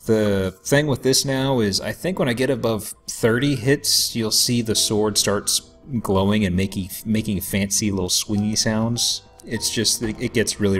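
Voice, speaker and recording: A man giving casual, somewhat halting commentary as host of a gameplay video, with a self-deprecating manner. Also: fairly low pitch, fairly bright tone. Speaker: let's play host. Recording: game music behind voice. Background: video game music.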